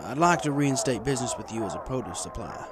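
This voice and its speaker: A man's voice: medium pitch, lively intonation, fast, a crowd in the background, noisy background, natural voice. A man voicing a begrudging male pony store owner in a storybook reading. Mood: reluctant, apologetic.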